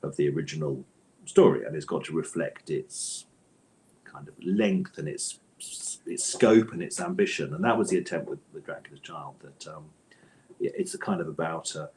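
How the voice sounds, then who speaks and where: A man's voice: very deep voice, moderate pace, a little mellow, slight background noise, low-quality recording. A man speaking as an interviewed author in a conversational, discursive way, often interrupting himself and repeating words.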